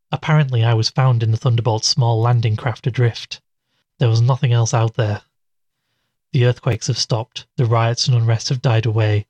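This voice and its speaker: Husky, subdued male investigator played by an actor. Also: mid-range voice, dark tone, no room sound, highs cut off, natural voice. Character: subdued, weary male investigator recounting a mystery. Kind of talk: audio drama monologue, recorded-log narration.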